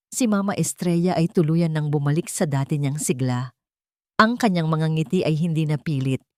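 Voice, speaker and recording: A woman with a slightly low voice narrates a dramatic, sentimental tale. Her delivery is scripted, measured and earnest. The sound is dry, with very little room in it. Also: no room sound. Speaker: audio story narrator.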